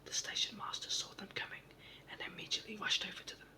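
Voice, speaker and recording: A reader narrating a children's storybook entirely in a soft, steady whisper. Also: fast talker, distant mic.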